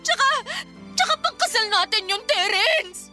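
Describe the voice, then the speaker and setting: A woman's voice: very high voice, animated, normal speed, music in the background. A woman playing an exasperated young fiancée in a radio drama, questioning her partner in an emphatic, scolding manner. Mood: angry, hurt. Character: exasperated young fiancée confronting her boyfriend over money. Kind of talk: Filipino radio drama acting.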